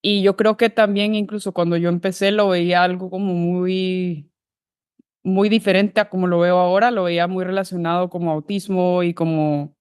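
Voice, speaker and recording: A woman's voice: lowish voice, fairly even intonation, moderate pace, darkish tone, clear, resonant tone, no room sound, clean studio sound. A woman from Guatemala, a behavior analyst, telling her career path in an interview, thoughtful and full of restarts. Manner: thoughtful, many fillers and restarts. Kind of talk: relaxed interview conversation.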